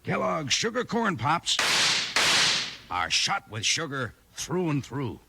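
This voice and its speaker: Gravelly, lively man pitching on old radio. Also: slow. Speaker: commercial pitchman. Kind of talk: folksy 1950s radio cereal ad to children. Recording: noisy old radio recording.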